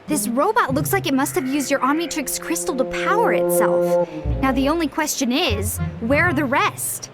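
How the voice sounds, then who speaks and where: A woman's voice: sits high, lively intonation, moderate pace. A woman voicing a sensible, analytical young girl sidekick in a cartoon game, inquisitive and matter-of-fact. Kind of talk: video game cartoon voice acting. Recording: music behind the voice. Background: music.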